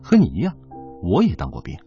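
Middle-aged devoted son, radio drama, emotional, widely swinging intonation, lo-fi sound. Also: male voice, low voice, wildly swooping pitch, fairly quick, vocal fry, warm tone, exaggerated voice. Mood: cold, sarcastic. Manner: emotional, sighing, exclamatory. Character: devoted, emotional Chinese son, ex-soldier and single father. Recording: dull lo-fi, music underneath.

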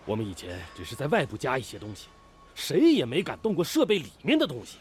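An actor playing a blunt, cautious and excitable steel-mill colleague in a Chinese radio drama. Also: male voice, medium pitch, quick pace, slightly rough, full character voice. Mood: doubtful, challenging.

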